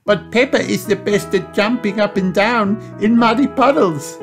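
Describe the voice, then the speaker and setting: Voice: mid-range voice, normal speed, soft background music, pitched above the performer's own voice, lightly acted. An adult narrator voicing an eager, bubbly little girl kangaroo in a children's storybook.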